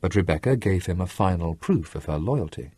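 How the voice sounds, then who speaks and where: Deep, husky male storyteller. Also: animated, brisk, dark tone, dry recording. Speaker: audiobook narrator. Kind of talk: scripted literary story narration.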